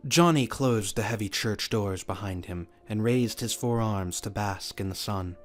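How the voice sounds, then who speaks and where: A man's voice: fairly low pitch, measured pace. A man reading scripted narration for an audio drama. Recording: music under the voice. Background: music.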